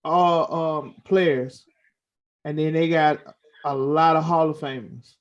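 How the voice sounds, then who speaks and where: Higher-voiced man, slightly dull audio. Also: fairly even intonation, slow pace. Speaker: talk show panelist. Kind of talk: casual sports debate.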